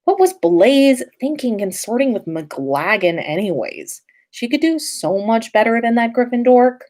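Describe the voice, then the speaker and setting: Female podcast storyteller, unhurried, animated pitch, expressive fiction reading.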